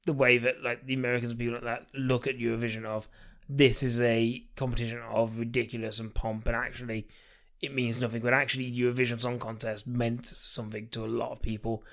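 A man with a middle-pitched voice co-hosts a casual podcast. He talks in a wry, rambling way, circling his point with plenty of fillers. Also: level tone, fairly quick, fairly bright tone. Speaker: podcast co-host.

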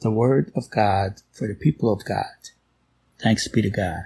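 A man reading a scripture passage aloud in a measured, solemn liturgical style. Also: lowish voice, dark tone, slightly rough. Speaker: scripture reader.

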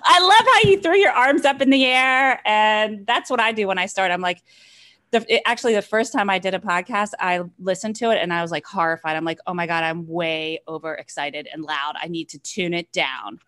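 A woman in her forties, a marketing executive on a podcast, talking in an energetic, excitable way that gets loud when she is excited. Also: mid-range voice, a little fast. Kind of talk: casual interview chat.

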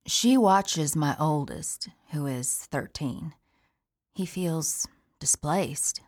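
Lady doing a tired mom in prison, low voice, slow and heavy. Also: a little mellow, exaggerated voice. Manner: weary, resentful, measured. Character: imprisoned woman, a mother worried for her kids. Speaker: incarcerated mother. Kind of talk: monologue in an audio play.

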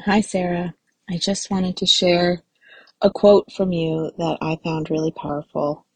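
A woman leaving a soft, earnest voice message for a podcast. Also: on the low side, flat delivery, unhurried, husky, no room sound. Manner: soft, earnest, quoting a host. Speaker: listener sending a message. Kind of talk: recorded voice message.

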